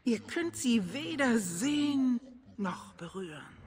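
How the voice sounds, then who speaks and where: A woman playing a seductive, possessive and self-assured female desire demon in a fantasy game, with silky, deliberate delivery. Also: a little high, sing-song, darkish tone, slight background noise. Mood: haughty, calm. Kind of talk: dubbed fantasy game acting.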